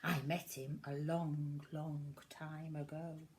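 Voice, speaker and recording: A woman voicing a big male monster father, slow and gentle, in a low, hushed storytelling voice from a picture book. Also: flat delivery. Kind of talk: picture book read aloud.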